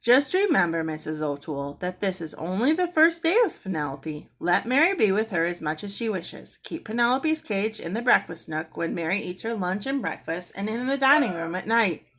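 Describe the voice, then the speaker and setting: A woman voicing a calm, confident woman who gives reassuring instructions in a children's storybook. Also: mid-range voice, moderate pace, few pauses. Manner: assured, instructive.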